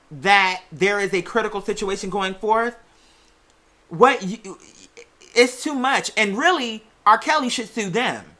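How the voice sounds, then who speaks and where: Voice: mid-range voice, bright, forceful tone. A sassy, blunt commentator chatting casually in slangy speech and giving opinionated takes on gossip.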